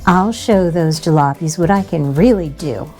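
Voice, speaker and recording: A woman's voice: on the low side, normal speed, a little mellow, soft background music, natural voice. A woman voicing a small, plucky young male monster truck in a storybook, feisty and boastful. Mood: defiant.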